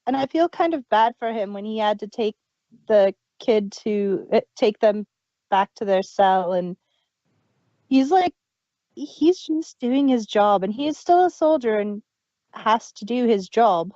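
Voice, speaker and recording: A woman's voice: on the high side, animated, unhurried, dark tone, no room sound, lo-fi sound. A woman co-hosting an audio drama review podcast, talking in a thoughtful, earnest way. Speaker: podcast co-host. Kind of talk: casual review chat.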